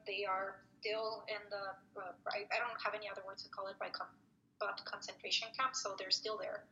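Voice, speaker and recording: Bright-voiced woman on a muffled call. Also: medium pitch, flat delivery, a little fast, a little hiss or hum. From Mexico. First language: Spanish. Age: 30s. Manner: reflective, with many fillers and restarts. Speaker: poet and student advisor. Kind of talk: remote interview answers.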